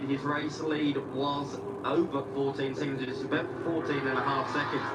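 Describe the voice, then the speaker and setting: A man calling a motor race live, reading lap times with growing excitement. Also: fairly high pitch. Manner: steady, building excitement over lap times. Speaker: race commentator. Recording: roomy, distant, heavy track noise.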